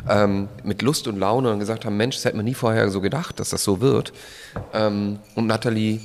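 A man's voice: on the low side, fairly even intonation, normal speed, vocal fry, darkish tone. A man hosting a podcast live on stage, talking in a rambling way with many hesitations. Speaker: podcast host. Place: large live venue hall. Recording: long hall reverb.

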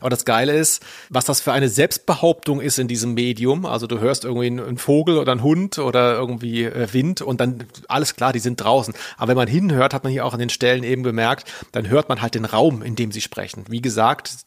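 A man's voice: mid-range voice, quick pace, few pauses, no room sound. Enthusiastic man podcasting, close dry sound. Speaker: podcast host, culture journalist. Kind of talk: casual podcast discussion.

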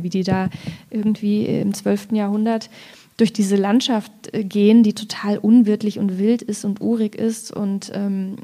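Mellow, even-toned woman in literary talk. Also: mid-range voice. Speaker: author, guest at a reading event. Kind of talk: conversational literary discussion with passages read aloud.

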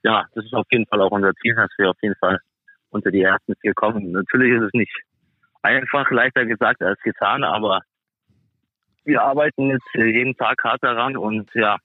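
A man with a fairly low voice heard through a narrow, phone-like connection with little room sound. He answers as an interview guest in a casual way, feeling for his words as he goes. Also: steady tone, fairly quick, no echo. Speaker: guest, professional ice hockey player. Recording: thin phone-like band-limited sound.

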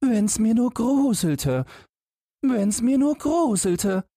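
Squeaky, cheeky young lad. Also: male voice, big pitch swings, slow, dark tone, slightly rough, dry recording, studio quality, heavily acted. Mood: wistful. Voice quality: high, squeaky, strained. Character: cheeky, fearless, simple-minded young fairy-tale lad.

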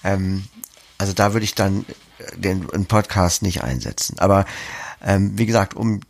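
A man's voice: low voice, normal speed, vocal fry. A man being interviewed as a podcast producer, explaining things conversationally, step by step with concrete examples.

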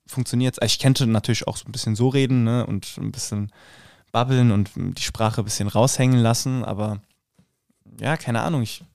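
A young man from Rüsselsheim with a Hessian accent, a drama student chatting casually as a guest, relaxed, laughing often and filling pauses with "ähm". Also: medium pitch, creaky, dry recording, clean studio sound. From Rüsselsheim, Germany. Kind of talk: casual interview chat.

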